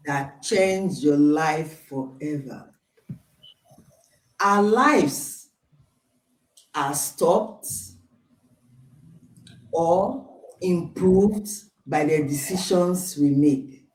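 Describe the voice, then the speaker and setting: A woman from Nigeria hosting a live online talk show, speaking slowly and formally as she welcomes viewers. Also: low voice, expressive, a little mellow, echoey room, distant mic, rough recording. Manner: slow, deliberate, formal welcomes.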